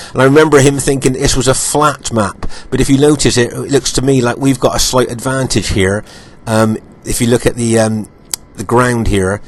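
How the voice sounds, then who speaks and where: A man with a slightly croaky voice, still getting over an illness, narrates a strategy game replay from home. He is unhurried and filler-heavy, and he often repeats points before moving on. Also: mid-range voice. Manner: unhurried, many ums and uhs, repeats points. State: croaky voice while recovering from illness. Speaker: game commentator. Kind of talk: gameplay commentary over footage.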